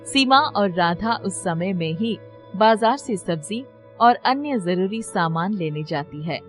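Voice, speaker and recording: A woman storyteller reading a scripted children's story in narration style. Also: fairly low pitch.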